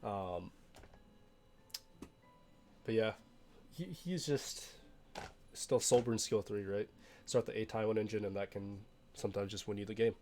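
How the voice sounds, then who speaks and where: A young man, a little hoarse from being sick, talking casually through his game roster with frequent fillers and restarts. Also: fairly low pitch, unhurried, long pauses, a little mellow, slight background noise. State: hoarse from illness. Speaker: game streamer.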